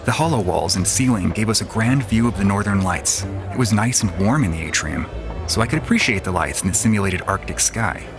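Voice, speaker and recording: Give me a man acting a cocky, jaded young space racer telling his own story in an audio drama. The voice sits a little low, with prominent music underneath. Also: animated, brisk. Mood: wry, reflective. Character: cocky, jaded young antigravity racing pilot narrating his past. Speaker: narrator and lead actor. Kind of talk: first-person scripted audio drama narration. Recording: voice over prominent background music.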